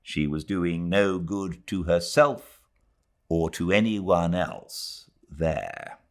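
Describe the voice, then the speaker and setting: A man's voice: low voice, slow. A man with a British accent, working as an audiobook narrator, reading literary prose. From Britain.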